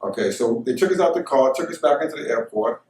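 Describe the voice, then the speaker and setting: A man's voice: medium pitch, expressive, quick pace, darkish tone. Echoey middle-aged American man telling stories. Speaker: storyteller. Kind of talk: casual first-person anecdote telling. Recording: echoey room, distant microphone.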